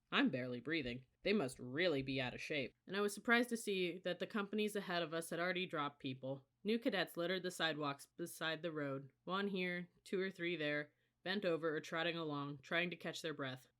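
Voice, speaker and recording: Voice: mid-range voice, a bit bright. An audiobook reader giving a scripted first-person narration of a story.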